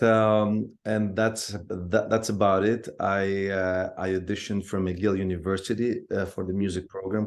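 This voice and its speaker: Deep, gravelly Albanian-accented man. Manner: hesitant, searching for words. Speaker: guest musician. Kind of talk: casual interview talk.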